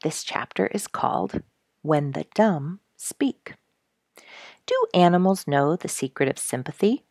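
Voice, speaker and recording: A woman reading a story aloud as a host, in measured, expressive storytelling phrases. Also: on the low side, no room sound, clean studio sound. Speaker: story reader, show host. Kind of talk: scripted storybook narration read aloud.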